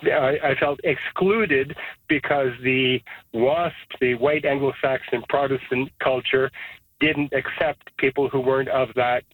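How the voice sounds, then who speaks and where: A man's voice: mid-range voice, steady tone, unhurried, no room sound. A Canadian-born man whose first language is Serbo-Croatian, calling in to a radio show and talking thoughtfully with many restarts. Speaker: caller. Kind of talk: call-in radio chat. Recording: tinny phone line.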